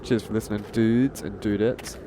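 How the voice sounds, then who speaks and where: A man's voice: lowish voice, steady tone, normal speed, background noise. A man hosting a podcast, talking casually to listeners in slangy, sweary language. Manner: slangy, laid-back wording. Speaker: podcast host.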